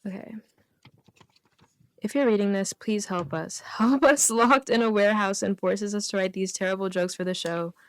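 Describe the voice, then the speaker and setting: A woman's voice: mid-range voice, moderate pace, dry recording. A woman guesting on a comedy radio show, reading out written jokes with casual asides.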